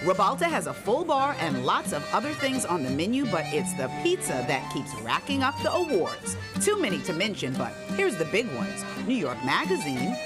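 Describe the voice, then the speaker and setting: A woman's voice: medium pitch, huge pitch swings, normal speed, few pauses, over loud music. A middle-aged woman reporting a feature for morning television, chatty and upbeat. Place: TV studio and a restaurant.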